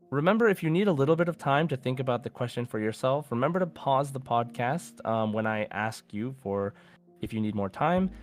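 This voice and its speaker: A man hosts a casual quiz podcast in a conversational voice of medium pitch. He plays quizmaster and speaks deliberately when reading things out. Faint music plays behind him. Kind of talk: casual hosting of a quiz show.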